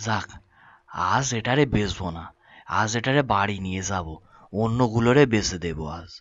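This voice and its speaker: A man playing a simple, cheerful village boatman in an animated folk tale, with a habit of muddling his words and correcting himself. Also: on the low side, moderate pace, muffled recording. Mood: amazed, excited. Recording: music bed under dialogue.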